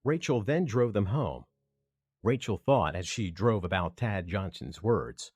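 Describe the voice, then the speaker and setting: A man's voice: fairly low pitch, animated, unhurried, slightly rough, no room sound. A man narrating an audio drama in a scripted storytelling style.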